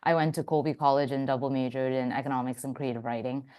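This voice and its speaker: A woman's voice: a bass voice, little pitch movement, quick pace, warm tone. A young woman from Vietnam introducing herself as a student panelist. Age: young adult.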